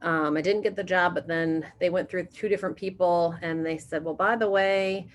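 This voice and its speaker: A woman who directs a sister cities program introduces herself in a chatty way on a video call. She corrects herself freely as she talks, in a slightly low voice. Faint noise sits under the call. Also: steady tone, normal speed.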